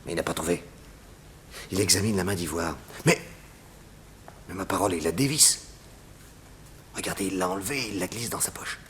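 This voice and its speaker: Deep-voiced, watchful detective, old radio. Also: male voice, normal speed, long pauses, a bit bright. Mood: hushed urgency. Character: brisk, watchful detective whispering orders in a graveyard. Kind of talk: 1960s French radio serial acting. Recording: noisy old radio recording with clicks.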